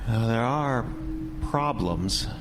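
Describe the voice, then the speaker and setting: An earnest minister, played by an actor with a folksy, preacherly manner. His mid-pitched voice moves expressively, with clear ambient noise around it. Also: expressive, slow. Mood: earnest, cautioning. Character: earnest, folksy Protestant minister, gently warning. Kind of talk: audio drama acting. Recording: reverberant, clear background noise.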